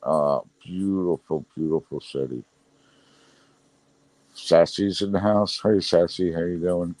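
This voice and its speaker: Brooklyn guy in his middle years, real low voice, dry close sound, chatty and rambling on a live stream. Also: fairly even intonation, takes it slowly, darkish tone, no echo, rough recording. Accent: Brooklyn New York. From Brooklyn, New York. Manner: chatty, rambling, laughs often. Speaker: livestream host. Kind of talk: casual live chat reading comments.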